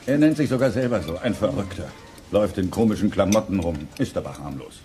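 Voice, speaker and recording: A man's voice: deep voice, expressive, fast, dark tone. A man playing a kindly older great-uncle, a shepherd, in plain clipped sentences in a youth audio drama. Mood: explaining.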